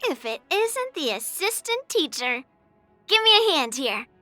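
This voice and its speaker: Confident young swordswoman, video game heroine, bright forceful tone, unhurried delivery, plucky and proud. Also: very high-pitched, soft background music, full character voice. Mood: cocky, playful. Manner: brash, plucky, expressive. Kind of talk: video game character voice lines.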